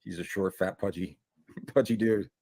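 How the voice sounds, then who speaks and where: A man's voice: lowish voice, steady tone, normal speed, creaky, a little mellow, no echo. A man streamer in casual chat, laughing often and agreeing with his co-host.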